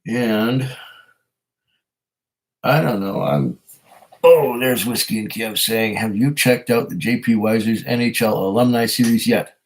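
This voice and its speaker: A man with a hoarse voice from a cold, hosting a casual live stream, reading viewer comments and chatting in a rambling, hesitant way. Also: fairly low pitch, unhurried, long pauses, rough recording. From Canada. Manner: rambling, hesitant, chuckles often. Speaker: whiskey channel host reading live chat.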